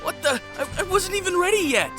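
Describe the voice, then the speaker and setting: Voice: very high-pitched, animated, fast talker, over music. An actor voicing a timid, stammering young male recruit in an audio drama, hesitant but eager to be brave. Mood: startled. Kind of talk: scripted audio drama acting.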